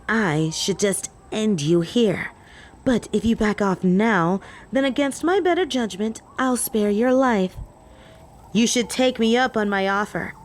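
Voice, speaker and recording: A woman's voice: medium pitch, a little hiss or hum. A woman playing a possessive, haughty female vampire in an audio roleplay, taunting and theatrical, with clingy endearments. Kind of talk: scripted audio roleplay acting.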